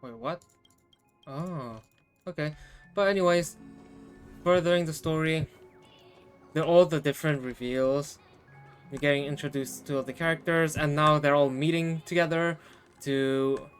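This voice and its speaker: A man's voice: fairly high pitch, steady tone, a little slow, pauses often, faint music behind. A man giving casual, off-the-cuff commentary on a game as he plays. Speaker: game streamer.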